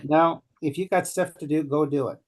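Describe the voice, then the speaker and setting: A man's voice: on the high side, steady tone, normal speed, a little mellow. A man organizing a local meetup, talking easygoing and a little hesitant in a casual group video call. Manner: easygoing, hesitant, laughs lightly.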